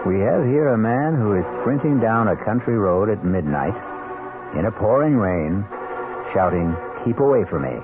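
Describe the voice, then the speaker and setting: A man as the ominous, deliberate host narrating an old-time radio mystery, with a gravelly voice. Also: on the low side, expressive, natural voice. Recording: muffled phone-like vintage radio, loud music.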